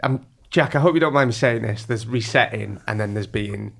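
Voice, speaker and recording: Gravelly excitable man co-hosting. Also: lowish voice, moderate pace, slight background noise. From North East England. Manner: excitable, gushing, rambling. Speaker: podcast co-host. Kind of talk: casual podcast chat.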